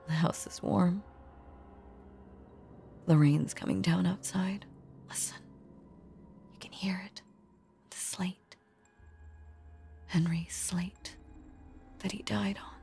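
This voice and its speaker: A woman voicing a lonely, possessive young ghost in an intimate audio roleplay, speaking in a hushed, halting, breathy voice that is tender and sweetly sinister. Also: on the low side. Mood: soothing, eerie. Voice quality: breathy, often slipping into whisper.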